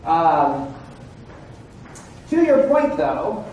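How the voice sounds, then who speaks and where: A man's voice: a little high, big pitch swings, very slow, far from the mic, muffled recording. A man in his forties gives a conversational lecture to a seminar audience. He keeps checking in with his listeners. His voice comes from well away from the mic in a very echoey room with a faint hiss of background noise. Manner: frequent "right?" and "okay?" check-ins with listeners. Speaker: presenter at a legal training seminar.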